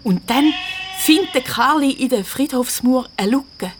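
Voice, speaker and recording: A woman's voice: sits high, animated, a little slow. Swiss woman storyteller over music. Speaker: narrator. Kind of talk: scripted storytelling for a children's radio play.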